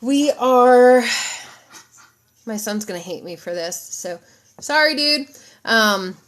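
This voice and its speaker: A woman's voice: a little high, expressive, takes it slowly. A woman with a head cold, sniffly, chatting casually and rambling to viewers on a live stream as a podcast host. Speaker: podcast host and mental health mentor.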